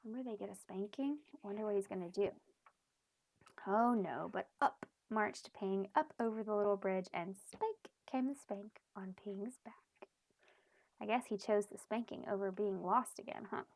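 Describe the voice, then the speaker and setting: A woman reading a storybook aloud expressively for young children, pausing to explain things in simple terms. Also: mid-range voice, vocal fry, no echo. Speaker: children's read-aloud host.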